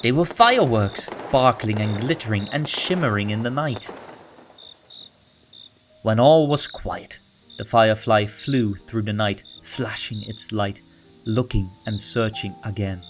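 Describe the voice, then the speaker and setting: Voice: mid-range voice, unhurried. A narrator reading a children's story aloud from a script, in a storybook style. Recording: music bed under the voice.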